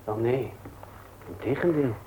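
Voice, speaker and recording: Actor as a reserved widower, noisy old recording. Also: male voice, mid-range voice, a little slow, warm tone, natural voice. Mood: gentle, attentive. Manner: laconic, attentive, understated. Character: reserved, considerate widower, calm and sparing with words. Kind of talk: naturalistic radio drama acting. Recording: muffled, hissy old radio play recording.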